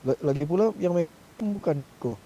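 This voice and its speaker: A man's voice: on the high side, fairly quick, mellow, low-quality recording. A young man with a colloquial Jakarta Indonesian accent, chatting casually with a group in an online game. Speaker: GTA roleplay gamer in a group meeting. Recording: muddy gaming mic with keyboard noise.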